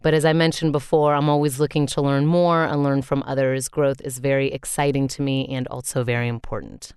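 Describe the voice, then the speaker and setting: A woman voice actor with a deep voice, reading her own written answers aloud in an earnest way, with small asides. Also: flat delivery, a little fast, darkish tone, smooth, no echo, studio quality.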